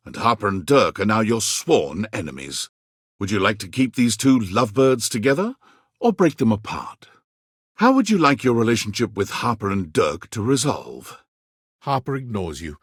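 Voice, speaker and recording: An actor playing a video game's narrator, telling a story in a dramatic, measured way. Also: male voice, on the low side, husky, no echo, studio quality. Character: male storybook-style narrator of a dating game. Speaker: game narrator. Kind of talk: scripted second-person story narration.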